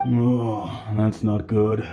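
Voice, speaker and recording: A man's voice: on the low side, little pitch movement, extremely slow. A man playing a friendly, plain-spoken innkeeper in a video game, fretting as he talks. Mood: worried. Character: friendly male innkeeper, fretful over a lost heirloom. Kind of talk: video game character acting. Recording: music playing behind.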